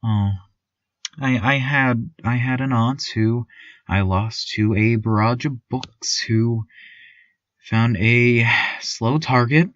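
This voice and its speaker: A man playing a timid, awkward, eerily cheerful small-town radio news host, stammering and pausing through a surreal fiction podcast monologue. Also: mid-range voice, no echo, lightly acted. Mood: earnest, uneasy. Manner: hesitant, stammering, many ums and pauses. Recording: dull, lo-fi, slightly muffled sound.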